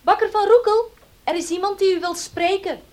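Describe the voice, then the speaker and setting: Young female shop servant, cheeky, high-voiced, radio play with faint hiss. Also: normal speed. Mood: polite. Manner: polite but saucy. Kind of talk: Dutch radio play acting.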